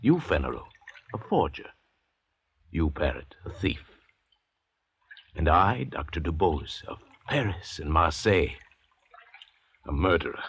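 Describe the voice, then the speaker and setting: An actor playing a haughty, cultured French doctor and murderer in an old radio drama, speaking in a formal, grandiloquent way. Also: male voice, extremely low pitch, expressive, unhurried, pauses often, a bit bright, slightly rough, dull audio, full character voice. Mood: contemptuous, grand. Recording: old radio recording with hiss, clicks.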